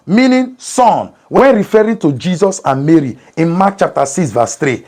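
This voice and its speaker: Priest teaching in a mellow voice. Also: male voice, on the high side. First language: Igbo. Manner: measured, methodical explaining with numbered points. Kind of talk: scripted Bible teaching.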